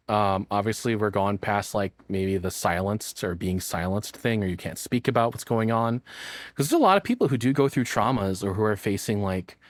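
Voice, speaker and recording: A man's voice: on the low side, no room sound. A man giving casual, rambling reaction commentary as a streamer, swearing freely, on a close mic. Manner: profane, rambling, expressive.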